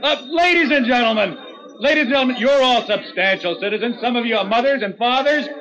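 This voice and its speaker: An actor playing an exuberant, stubborn young soldier who clowns and declaims theatrically in a 1940s radio drama. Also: male voice, very high voice, fast, pushed and bright. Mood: teasing, insistent. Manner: theatrical, declaiming to crowds like a showman. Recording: muffled 1940s radio broadcast, crowd behind.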